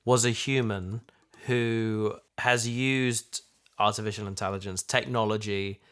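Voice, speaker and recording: A man chatting casually and thoughtfully, feeling his way through ideas in halting phrases. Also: on the low side, steady tone, fairly bright tone, clear, resonant tone. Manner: halting, thoughtful, repeats himself. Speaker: podcast host, audio drama voice actor. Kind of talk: casual chat, discussion.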